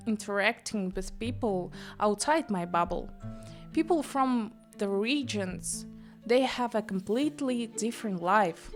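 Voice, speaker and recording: A young woman from Kyrgyzstan with a Russian accent, narrating a personal essay on her channel in a reflective way, with light laughs. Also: medium pitch. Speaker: channel host.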